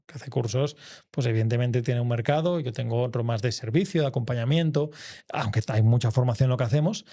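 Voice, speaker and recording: A man, a guest real estate investor, speaking in a measured, explanatory way in an interview. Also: mid-range voice, dark tone. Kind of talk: conversational interview.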